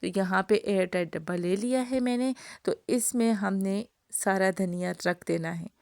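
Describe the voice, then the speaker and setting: A woman's voice: medium pitch, normal speed, gravelly, darkish tone, no echo, clean studio sound. A woman with a sore throat giving a home cooking tutorial as a voice-over, explaining each step to her viewers in a friendly way. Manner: friendly instructional, addresses viewers directly. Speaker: cooking channel host. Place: home kitchen, voice-over recorded separately.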